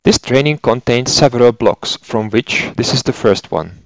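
A man in his forties with a Hungarian accent, narrating an instructional course in a methodical, explanatory way as a software trainer. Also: medium pitch, expressive, normal speed, poor audio. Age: 40s. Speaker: software trainer and consultant engineer.

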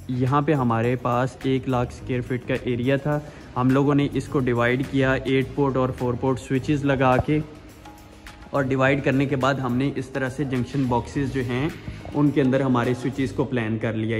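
A man's voice: mid-range voice, level tone, normal speed. A young man hosting a technical walkthrough, explaining a security installation step by step in a large empty indoor building, with frequent hesitation fillers. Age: young adult. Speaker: security installer and channel host. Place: large empty mall interior under construction, indoors. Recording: roomy echo from far off, music behind.

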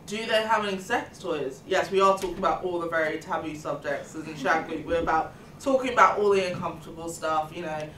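A woman's voice: on the low side, a bit bright. A woman in her twenties hosting a panel podcast in a semi-scripted, conversational way. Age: 20s. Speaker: podcast host, student union welfare officer. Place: meeting room indoors. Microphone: table microphone, a little distant. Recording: echoey room, distant mic, background noise.

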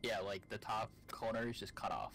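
A man's voice: on the low side, moderate pace, music in the background. A man talking casually as a co-op game partner, describing things as he plays. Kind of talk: casual chat, describing puzzle symbols.

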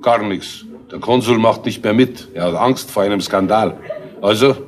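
An actor playing a stubborn retired police inspector in a 1970s radio crime drama, with a gruff, plain manner. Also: male voice, lowish voice, normal speed, close to an everyday voice.

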